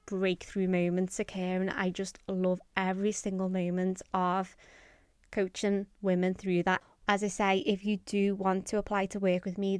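A woman's voice: medium pitch, clear, resonant tone, no room sound, studio quality. A woman hosting a podcast, coaching women and talking in an enthusiastic, chatty way. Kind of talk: podcast intro and interview.

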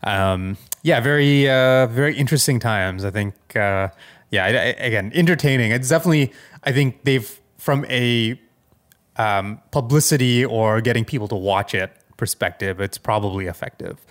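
A man's voice: medium pitch, normal speed. A man with a mid-range voice co-hosts a casual podcast about tech. He talks conversationally, qualifying his points as he goes and regularly checking that his listener agrees. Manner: hedging, checks in with "right?" often. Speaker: podcast co-host.

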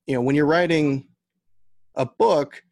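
A man's voice: a little high, measured pace, vocal fry, darkish tone, no echo, clean studio sound. An American man speaking as an interview guest, explaining his craft conversationally and thinking his points through aloud. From United States. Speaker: podcast guest, novelist and audio drama writer.

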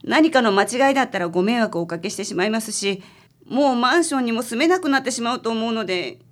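A woman's voice: fairly high pitch, lively intonation, fast, close to an everyday voice. A woman playing a worried married client in a radio drama, speaking politely, hesitantly and apologetically. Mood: frightened. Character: timid, worried married woman seeking detective's help.

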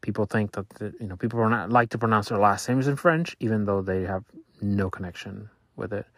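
A man in his thirties, a native Spanish speaker, reflects on his week in a relaxed monologue. His voice sits low, and he often pauses and restarts as he thinks. The recording is close and dry, with no room sound. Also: no room sound. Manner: thoughtful, halting, restarts often. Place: Louisiana.

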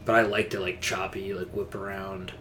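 A man's voice: deep voice, little pitch movement, normal speed, fairly bright tone, slight background noise. A man co-hosting a podcast, chatting casually about games in a rambling, slangy way. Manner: rambling, informal gamer slang. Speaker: podcast co-host. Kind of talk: casual gaming chat.

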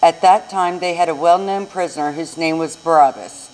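A woman's voice: deep voice, moderate pace, darkish tone, low-quality recording. An American woman reading a scripture narrative aloud as narrator in a live church recording. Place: church service.

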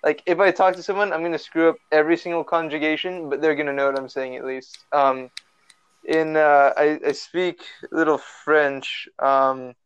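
A man's voice: fairly high pitch, level tone, normal speed, clean tone. A young man from the Los Angeles area, a podcast guest chatting casually over a remote call, rambling with lots of fillers and restarts. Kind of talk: casual chat. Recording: lo-fi online call audio.

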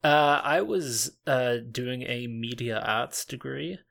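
Gravelly-voiced laid-back man from New Zealand. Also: mid-range voice, slow, a bit bright. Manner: laughs often, frequent restarts. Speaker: podcast guest, online gamer. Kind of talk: casual chat.

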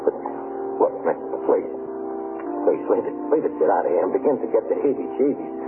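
An actor in a 1940s radio drama playing a cynical city tough guy with a soft heart, talking in slangy, ungrammatical speech. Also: low voice, sing-song, a little fast. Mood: uneasy, gruff. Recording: muffled old radio broadcast, music behind.

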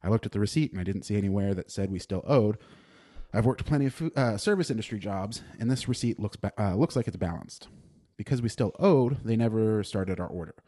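Deadpan young man, lowish voice. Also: fairly quick. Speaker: podcast co-host. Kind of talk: casual chat, reading a written food review aloud.

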